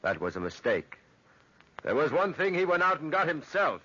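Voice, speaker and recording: A man's voice: fairly high pitch, very animated, normal speed, sharp tone, slightly rough, heavily acted. An actor in old-time radio drama playing a respectful workman who informs on others in an ominous, insinuating way. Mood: ominous, hushed. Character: conspiratorial workman, ominous and respectful tale-bearer. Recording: dull, lo-fi old radio recording.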